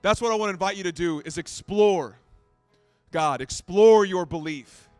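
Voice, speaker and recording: High-voiced man preaching a casual sermon. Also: moderate pace, clean tone, dry recording, soft background music. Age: 30s. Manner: conversational, self-deprecating, repeats phrases. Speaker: pastor.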